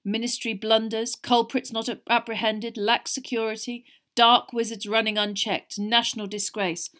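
Fantasy storybook father, middle-aged, woman reader acting, weary, measured, exasperated. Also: on the high side, level tone, fairly bright tone, close to an everyday voice. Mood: heavy, grim.

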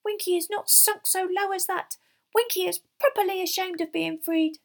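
A woman voicing a small, indignant female house elf servant for a storybook, squeaky and shrill, speaking in servile broken grammar. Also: steady tone, moderate pace, creaky. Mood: indignant, furious. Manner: wailing, broken servile grammar, third-person speech.

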